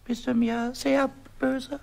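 An actress playing a small, mischievous, childlike male kobold in a children's radio play, repeating words excitedly and stammering. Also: high-pitched, takes it slowly, gravelly, full character voice. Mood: meek, pleading.

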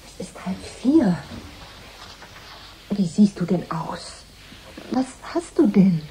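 A woman's voice: medium pitch, very animated, slow, dark tone, rough recording. An actress playing a worried, caring woman questioning her troubled partner in a radio drama. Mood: worried, soothing. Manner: concerned, questioning. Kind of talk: 1980s German radio drama acting. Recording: faint background noise.

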